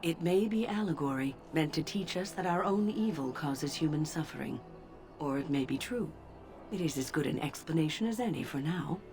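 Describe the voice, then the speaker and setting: A woman voicing a calm, wise older female mage in a video game, speaking in a measured, formal way. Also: low voice, music in the background. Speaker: game character.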